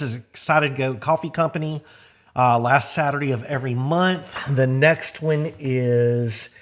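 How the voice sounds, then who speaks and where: A middle-aged American man hosting a vlog, talking in a casual, rambling, folksy way with plenty of informal slang. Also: a little high. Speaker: host of a garage vlog.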